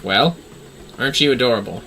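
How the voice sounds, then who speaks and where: A man's voice: mid-range voice, a little fast, bright, forceful tone, soft background music. A man doing casual livestream game commentary, talking to himself as he plays and reading game text aloud. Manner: talks to self while playing. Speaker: livestream gamer, VTuber.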